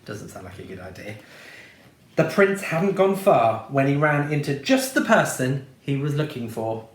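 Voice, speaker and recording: Expressive man reading a storybook. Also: medium pitch, normal speed, roomy sound. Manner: expressive, playful. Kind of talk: reading a children's storybook aloud.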